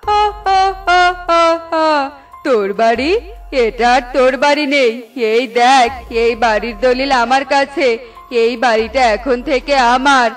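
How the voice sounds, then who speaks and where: Woman as a high-voiced scheming cartoon crow. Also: unhurried, faint music behind. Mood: gloating, menacing. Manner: wheedling, taunting. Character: scheming, greedy male crow, smooth-talking bully. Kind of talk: cartoon character voice acting.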